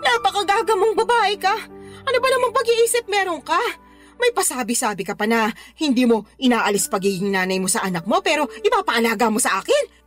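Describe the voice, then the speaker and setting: A woman's voice: sits high, animated, a little fast, a bit bright, soft background music. A woman playing an indignant, nagging Filipino grandmother who protects her grandchild, in a radio drama. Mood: outraged. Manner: exasperated, nagging, exclamatory.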